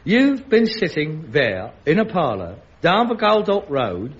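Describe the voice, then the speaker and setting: An actor playing an exasperated, sarcastic grown son with a London accent in a sitcom, theatrical and incredulous. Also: male voice, on the high side, wildly swooping pitch, measured pace, heavily acted. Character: scornful, exasperated grown son of an elderly father. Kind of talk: studio sitcom acting. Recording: dull, noisy archive recording.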